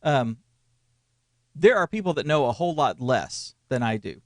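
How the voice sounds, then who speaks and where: A man's voice: mid-range voice, big pitch swings, normal speed, no room sound. Casual guy in his 40s chatting church media, rambly, dry close sound. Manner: rambling, restarts sentences, chuckles. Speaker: co-host, church media consultant. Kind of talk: casual conversational podcast.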